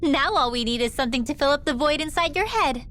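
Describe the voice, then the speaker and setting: An actress playing a sharp-tongued young woman fighter who speaks in a dry, commanding way. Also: sits high, lively intonation, fast, slight background noise. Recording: game audio with music behind.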